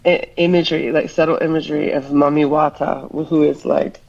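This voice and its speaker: Gravelly-voiced reflective woman guest. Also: low-pitched, steady tone, a little fast, a little mellow, lo-fi sound. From Hawaii. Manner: reflective, rambling with many fillers. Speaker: musician guest. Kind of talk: casual interview chat.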